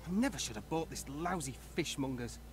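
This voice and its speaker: An actor playing a talkative, hapless fish stall owner in a video game, pitching his wares with a salesman's patter. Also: lively intonation, fairly quick, close to an everyday voice. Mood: rueful. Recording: game audio with music behind.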